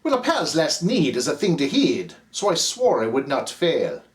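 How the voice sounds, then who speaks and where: A man's voice: fairly high pitch, huge pitch swings, moderate pace. A man with an Irish accent reciting a ballad as the narrator Cap, in a rhythmic, theatrical delivery. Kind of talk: dramatic poem recitation.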